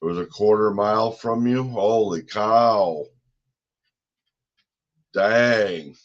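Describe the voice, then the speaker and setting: An older man from Wisconsin with a deep, low voice chats in a slow, easygoing way on a livestream, cracking jokes and restarting his sentences. His sound is a little dull, with a faint hiss behind him. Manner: laughs often, jokes, trails off and restarts. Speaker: livestream co-host. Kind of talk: casual chat.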